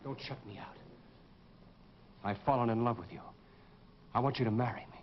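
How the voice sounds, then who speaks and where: An actor playing a courtly, insistent suitor with a hidden vengeful streak in an old radio horror drama. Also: male voice, mid-range voice, fairly even intonation, moderate pace, lightly acted. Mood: pleading. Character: suave, intense English suitor hiding a vengeful nature. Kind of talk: 1940s radio horror acting. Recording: dull, noisy old radio broadcast.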